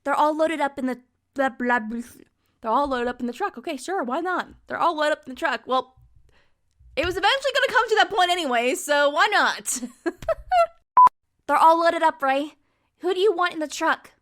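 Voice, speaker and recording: A woman speaks in a very high-pitched voice. She is an amateur voice actor repeating script takes and adding playful asides. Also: expressive, normal speed, long pauses, a bit bright.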